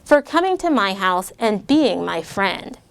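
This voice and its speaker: A woman voicing a shy, lonely new schoolboy who speaks softly and slowly with gratitude. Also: medium pitch, big pitch swings, creaky. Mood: grateful.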